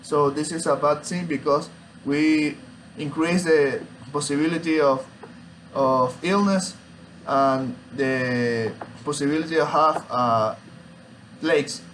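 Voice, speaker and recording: Slow, halting young man presenting. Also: on the high side, fairly bright tone, slight background noise, rough recording. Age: young adult. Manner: halting, hesitant, searching for words. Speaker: student. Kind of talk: informal student oral presentation. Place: small room at home, indoors.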